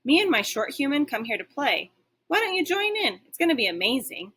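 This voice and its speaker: A woman's voice: sits high, brisk, pitched above the performer's own voice, exaggerated voice. A woman voicing a small, eager young male dog in a storybook, chatty, friendly and a bit boastful. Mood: proud, excited. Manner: excitable, chatty.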